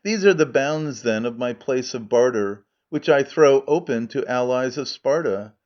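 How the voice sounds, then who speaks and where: A man's voice: mid-range voice, lively intonation, measured pace, a little mellow, lightly acted. A man reading a dry-witted Athenian farmer. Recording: muffled lo-fi recording.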